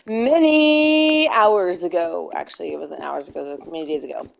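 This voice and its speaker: Slightly hoarse woman rambling through a story. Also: lowish voice, normal speed. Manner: rambling, self-correcting, laughs often. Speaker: streamer. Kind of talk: casual storytelling while gaming.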